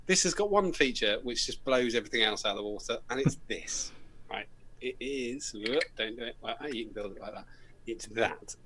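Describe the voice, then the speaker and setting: A man's voice: mid-range voice, expressive, moderate pace, noisy background. Jokey British man co-hosting a vape show. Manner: banter, jokey, laughs often. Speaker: co-host. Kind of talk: casual chat.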